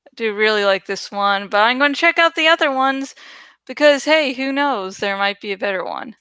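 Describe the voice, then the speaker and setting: A woman casually rambling as she edits artwork for her channel, thinking out loud, self-deprecating and swearing freely. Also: a little high, lively intonation, normal speed, clear, resonant tone, no echo. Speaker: video creator and host. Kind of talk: casual rambling commentary while editing artwork.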